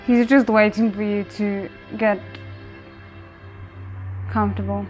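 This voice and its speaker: A woman's voice: mid-range voice, level tone, slow pace, a little mellow, soft background music. A woman hosting a reaction video, commenting casually on a drama in short exclamations and musings. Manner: exclaims and muses aloud in short remarks.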